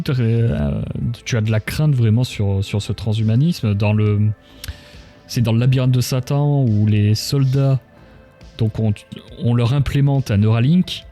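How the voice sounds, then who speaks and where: A man's voice: medium pitch, warm tone. A man hosting a casual live-stream interview in an informal, joking way. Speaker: interview host.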